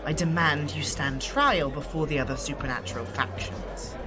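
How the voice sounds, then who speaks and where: A resolute exiled shifter, voiced by a woman, who speaks in measured, formal sentences. Her voice sits fairly low. Music runs behind her in this audio drama. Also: natural voice. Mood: stern. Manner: formal and measured, avoids contractions.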